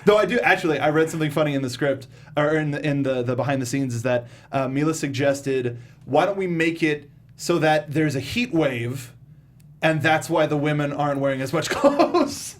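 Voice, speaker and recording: A man hosting a talk show, discussing film casually in a halting, slangy, informal way. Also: on the high side, lively intonation.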